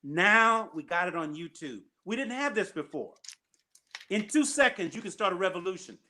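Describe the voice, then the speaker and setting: Male call-in host, high ringing voice, emphatic and repetitive. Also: lively intonation, normal speed, sharp tone. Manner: emphatic, repeats key points. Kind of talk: casual call-in chat.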